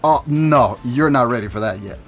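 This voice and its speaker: A man's voice: medium pitch, normal speed, fairly bright tone, natural voice. A man playing a young record store clerk who loves goth music, with a playful, teasing way of talking. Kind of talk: audio drama acting.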